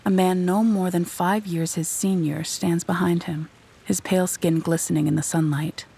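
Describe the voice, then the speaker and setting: Low, husky woman narrator. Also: steady tone, dark tone. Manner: measured, descriptive storytelling. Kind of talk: scripted narration of a horror audio drama.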